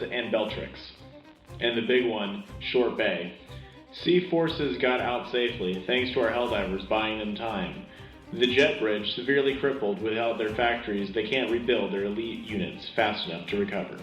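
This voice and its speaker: Casting: man as earnest sci-fi war radio anchor, mid pitch, emphatic news delivery over faint music. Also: fairly bright tone, roomy sound, dull audio, lightly acted. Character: male wartime radio anchor, earnest and rallying. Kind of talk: scripted in-universe war news broadcast.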